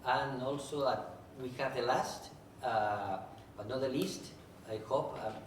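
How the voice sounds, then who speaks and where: A man's voice: mid-range voice, measured pace. A man moderating a panel's audience questions, hesitant with many fillers and restarts, in a large conference room. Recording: echoey hall, distant mic, background noise.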